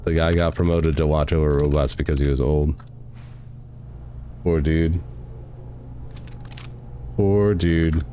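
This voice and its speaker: A man's voice: rumbling low, fairly even intonation, takes it slowly, long pauses, mellow, a little hiss or hum. A young man with a stuffy nose from a cold, chatting casually as he plays a game and reads its text aloud at a desk mic at home. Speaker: gaming streamer. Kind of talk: casual let's-play commentary.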